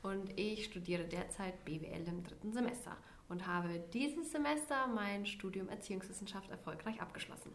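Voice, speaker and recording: A woman's voice: medium pitch, normal speed, few pauses, heavy background noise. Young woman in an echoey room. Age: 20s. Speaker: podcast co-host. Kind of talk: casual podcast chat.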